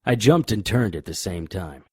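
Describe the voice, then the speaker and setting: A man's voice: lowish voice, expressive, normal speed, husky, no echo, studio quality. A man playing a tough, sardonic private investigator in a noir radio drama, both narrating and speaking in scenes. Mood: grim narration. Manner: hard-boiled, sardonic. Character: tough, defiant, wry male private investigator. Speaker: lead actor and narrator.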